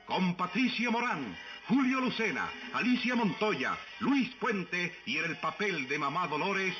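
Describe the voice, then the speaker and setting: Male radio serial announcer, vintage: muffled old recording, loud music, highish lively voice, emphatic billing. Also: unhurried.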